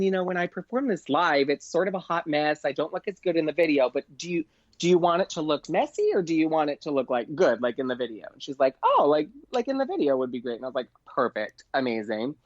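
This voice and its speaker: A man telling a casual anecdote as a podcast guest, gushing and excitable, saying "like" often and acting out both sides of conversations. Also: fairly high pitch, fast, no room sound, highs cut off. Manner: gushing, excitable, frequent "like", acts out dialogue. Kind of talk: casual anecdote, chatty storytelling.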